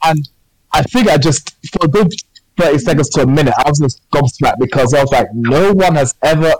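Guy, podcast co-host, chatty storyteller, higher-pitched, very dry mic. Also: fairly quick, husky, no echo, low-quality recording. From England. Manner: animated anecdote-telling, lots of fillers and repeated phrases. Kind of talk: casual storytelling chat.

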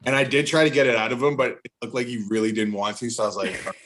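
A man's voice: medium pitch, racing, background noise. A man telling casual stories in a group podcast chat.

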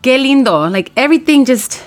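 A woman's voice: high-pitched, animated, brisk, crowd noise. Lady host chatting, encouraging, drops into spanish now and then. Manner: warm encouraging reflections, switches into Spanish. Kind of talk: casual interview chat.

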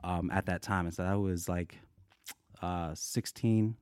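Male guest from Colorado, low voice, dry sound, loose rambling storyteller. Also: fairly even intonation, measured pace, darkish tone, clean tone, no room sound. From United States, Colorado. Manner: laid-back, rambling, acts out quotes. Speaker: guest, dancer. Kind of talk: casual storytelling interview.

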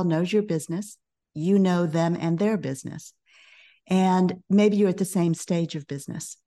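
A middle-aged woman speaking as a podcast guest, a business coach who talks in a calm, measured way. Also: fairly low pitch. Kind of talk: podcast interview.

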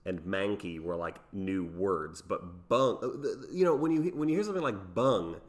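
A man's voice: deep voice, lively intonation, normal speed. A man co-hosting a casual chat, joking around with playful puns. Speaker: podcast co-host.

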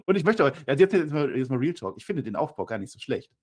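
Slightly rough-voiced guy chatting fast about wrestling, opinionated, jokey and ironic. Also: medium pitch, sing-song. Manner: joking, ironic asides. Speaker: wrestling show review co-host. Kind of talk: casual opinionated banter.

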